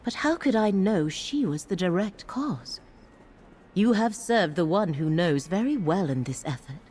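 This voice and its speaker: Grave, lowish-voiced female scholar. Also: lively intonation, a little slow, darkish tone, slightly rough. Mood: composed, grave. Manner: formal, composed, precise. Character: grave, formal female scholar and quest giver.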